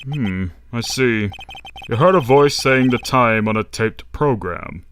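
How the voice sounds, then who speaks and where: A man playing a measured, formal judge in a courtroom game fan dub, speaking deliberately over music. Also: mid-range voice, fairly bright tone, slight background noise. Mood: considering.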